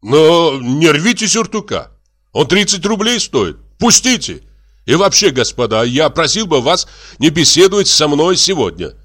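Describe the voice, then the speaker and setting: An irritable, reluctant country doctor, acted by a man reading a story. He grumbles and protests in an exasperated, lively voice with a sharp edge. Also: a little high, normal speed, breathy edge, voice pushed up, heavily acted. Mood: peevish. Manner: complaining, exasperated.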